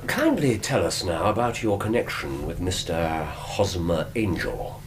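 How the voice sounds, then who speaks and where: A British actor playing a shrewd, courteous, self-assured Victorian detective in a radio drama, with precise, theatrical, formal phrasing. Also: male voice, low voice, big pitch swings, unhurried, crowd noise. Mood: probing, polite.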